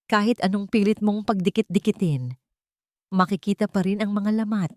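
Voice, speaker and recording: A woman with a Filipino accent narrating a dramatic story in a measured, emotive audiobook style. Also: medium pitch, a little mellow, no echo, studio quality.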